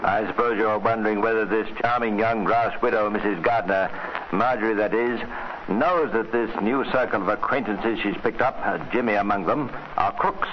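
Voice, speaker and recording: An actor playing a wry, knowing narrator in a vintage radio drama, confiding in the listener. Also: male voice, lowish voice, steady tone, normal speed, natural voice. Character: wry, knowing male storyteller addressing the listener. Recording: muffled, noisy old radio recording.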